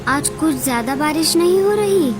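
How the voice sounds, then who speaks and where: A woman's voice: high voice, lively intonation, moderate pace, music in the background. A woman voicing an agreeable, caring young mother bird in a Hindi cartoon. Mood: chatty. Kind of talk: Hindi animated moral-story voice acting.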